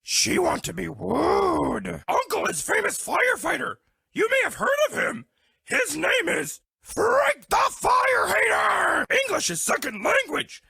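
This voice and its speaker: Squealing, gravelly girl bear. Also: very high-pitched, big pitch swings, measured pace, bright, forceful tone, no echo, raised voice, studio quality, exaggerated voice. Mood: earnest, coy. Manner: broken third-person English, dramatic and gushing. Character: sweet dramatic lovestruck female bear, talking in broken English. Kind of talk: cartoon dating game character lines.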